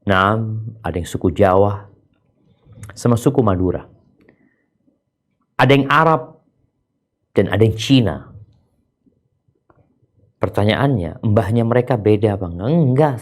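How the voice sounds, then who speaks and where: A male preacher giving a sermon with a very lively, swooping pitch. He drops to hushed whispers and then rises to raised exclamations. His voice sits at a middle pitch.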